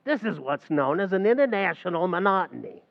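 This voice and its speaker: A brash New bartender lectures like a know-it-all and keeps mangling his big words, as a man plays him. His voice runs high and bounces all over, with a gravelly rasp. The sound is dull and lo-fi, like an old-time radio comedy. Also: big pitch swings, fairly quick, exaggerated voice. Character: fast-talking, know-it-all New York bartender Archie.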